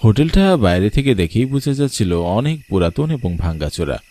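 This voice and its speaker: A man's voice: fairly low pitch, very animated, a little fast, mellow. A man narrating a scripted cartoon horror story. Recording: background music, night insects.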